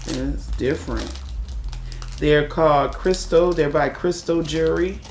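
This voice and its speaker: A woman casually chatting through an unboxing for her viewers, her voice raspy from a cold as she admires each piece. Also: low-pitched, measured pace, a little mellow, a little hiss or hum. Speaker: unboxing vlogger. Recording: echoey room.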